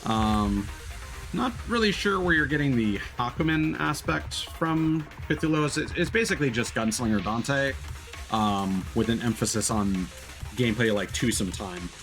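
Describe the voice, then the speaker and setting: A man streams a video game with casual, thoughtful commentary. His voice sits at an average pitch as he pauses to reason things out. Faint music plays behind him. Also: lively intonation, fairly bright tone.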